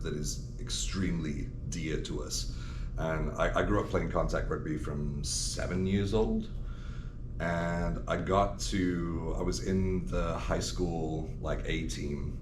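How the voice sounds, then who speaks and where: A Welsh man, a podcast guest, chatting casually in a rambling way, laughing often and swearing freely. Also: extremely low pitch. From Wales. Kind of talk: casual chat. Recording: echoey room, background noise.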